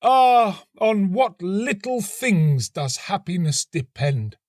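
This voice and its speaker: A man's voice: high voice, big pitch swings, a little mellow, dry recording, studio quality, pitched above the performer's own voice, exaggerated voice. A man voicing a lovelorn young student in a storybook, plaintive and melodramatic, drawing out his romantic despair. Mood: despairing, tearful. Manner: plaintive, melodramatic, drawn-out sighing delivery. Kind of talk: storybook reading.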